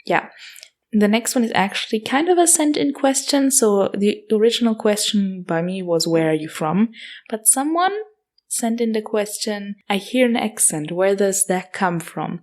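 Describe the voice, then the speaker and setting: A woman's voice: medium pitch, animated, normal speed, a little mellow. A woman in her twenties with an Austrian German accent hosting a casual solo podcast Q&A, chatty with frequent fillers and self-corrections. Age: 20s. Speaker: podcast host.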